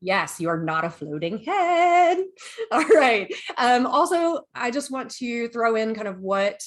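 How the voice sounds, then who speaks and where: A woman's voice: fairly high pitch, unhurried. Female podcast host from the US, friendly and enthusiastic, casual delivery. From United States. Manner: chatty, enthusiastic, lively asides. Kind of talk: casual podcast chat, reading notes.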